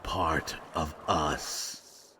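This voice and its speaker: A man voicing a fragmented monster in an audio drama, a menacing yet regretful servant who talks haltingly and ominously. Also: very deep voice, steady tone, sharp tone, slight background noise, heavily acted. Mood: somber, ominous. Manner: slow, halting, ominous, speaks of himself as "we". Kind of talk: scripted roleplay audio drama.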